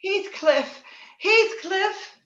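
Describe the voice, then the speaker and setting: A high-pitched, impetuous young heroine of a radio melodrama, played by a woman, theatrical and headstrong in her passion. The voice sits in a roomy, slightly dull video-call recording with faint noise. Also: lively intonation, extremely slow, a bit bright, exaggerated voice. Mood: rapturous, tender. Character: Kathy, passionate, willful young woman of the moors. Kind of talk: old-time radio drama acting. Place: indoor room, remote video-call session.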